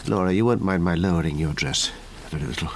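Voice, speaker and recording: An actor playing a grave, weary but kindly country doctor in a period audio drama, measured and formal. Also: male voice, sits low, slight background noise. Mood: clinical, concerned.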